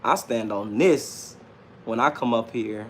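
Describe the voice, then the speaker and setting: A man's voice: mid-range voice, measured pace, a little hiss or hum. A man in his late thirties giving a casual, unscripted livestream monologue in a self-assured, slangy, repetitive way. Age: late 30s. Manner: self-assured, slangy, repeats himself, often says "man". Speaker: livestream host and self-published author.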